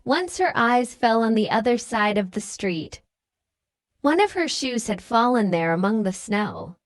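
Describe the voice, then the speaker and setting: Expressive woman narrating, slow and animated. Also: a little high, no echo, clean studio sound. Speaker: children's story narrator. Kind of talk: scripted storybook narration.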